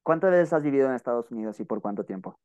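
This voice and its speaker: A man's voice: mid-range voice, expressive, racing, a little mellow, clear, resonant tone, lo-fi sound. A man with a Mexican Spanish accent speaking informally and chattily as a podcast host and interviewer. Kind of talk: casual podcast talk and interview.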